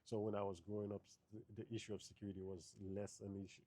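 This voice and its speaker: A man's voice: lowish voice, level tone, fast, mellow, dry recording. A man from Haiti, in his thirties, answering interview questions in a thoughtful, hesitant way with many fillers and restarts.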